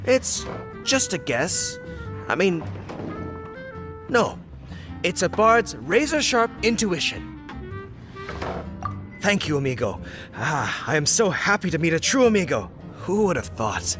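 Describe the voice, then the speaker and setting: An actor playing a passionate, friendly bard in a video game, telling things in a florid, effusive way. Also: male voice, on the high side, big pitch swings, slow, pauses often. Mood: confiding. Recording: game music behind the voice.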